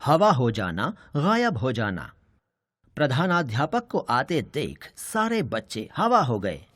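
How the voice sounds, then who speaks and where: A man's voice: medium pitch, huge pitch swings, slow pace, fairly bright tone, no echo, clean studio sound. A man reading a scripted educational lesson for children as a teacher. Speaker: teacher narrator.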